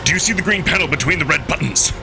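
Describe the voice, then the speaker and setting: A man's voice: fairly high pitch, fast talker, vocal fry, music in the background, poor audio. A man voicing a commanding, hot-tempered alien wolf man in a dramatic visual novel, snarling out urgent orders. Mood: urgent, barking.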